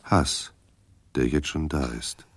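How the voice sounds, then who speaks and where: A man narrating a noir audio drama in terse, measured, brooding sentences. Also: very deep voice, fairly even intonation, breathy edge, no echo, heavily acted. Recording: rain and street noise behind voice. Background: rain, traffic ambience.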